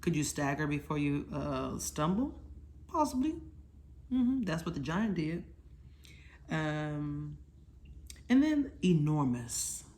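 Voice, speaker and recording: Lower-voiced woman hosting a children's storytime lesson. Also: very animated, takes it slowly, pauses often, warm tone. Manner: teacherly, asks questions and checks understanding; simple, informal explanations for children. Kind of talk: casual children's lesson, conversational.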